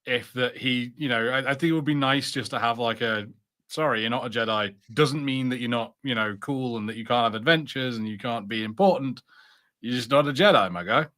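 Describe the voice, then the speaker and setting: A man co-hosting a podcast, chatting casually with halting starts and acted-out imagined lines. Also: medium pitch, sharp tone. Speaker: podcast co-host. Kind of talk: casual review chat.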